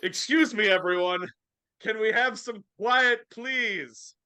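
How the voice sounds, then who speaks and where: A man's voice: high-pitched, slow, pushed and bright, clean tone, dry recording, pitched above the performer's own voice, full character voice. A man reading the part of a hearty, declamatory older-style boss in a live script reading. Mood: festive, hearty. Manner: declamatory, grandly addressing listeners. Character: hearty male headmaster and festive employer, declaiming. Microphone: video call.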